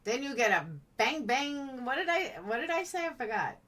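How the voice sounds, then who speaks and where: A woman's voice: high voice, lively intonation, normal speed, a bit bright, recorded from a distance. A woman live-streaming in a blunt, chatty, playful way, casually answering comments as they come in.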